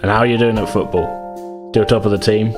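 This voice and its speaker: A man's voice: fairly low pitch, steady tone, brisk, music in the background, natural voice. A man playing a stern, demanding father in a tabletop game, pressing his son with clipped questions. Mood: stern, pushy.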